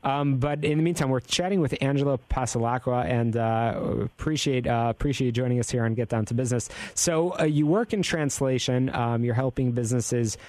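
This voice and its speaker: A man's voice: mid-range voice, fairly quick, few pauses, a little mellow, no room sound. A man hosting a business radio show who asks long, rambling questions with joking asides. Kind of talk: casual radio interview.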